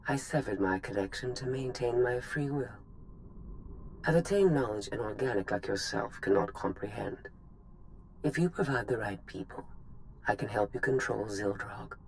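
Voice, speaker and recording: A man playing a cold, superior rogue droid in a video game, speaking in formal, measured phrases. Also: medium pitch, lively intonation, vocal fry, slight background noise, rough recording. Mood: superior, assured. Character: cold, self-freed rogue droid hungry for freedom. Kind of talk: dramatic video game cutscene acting.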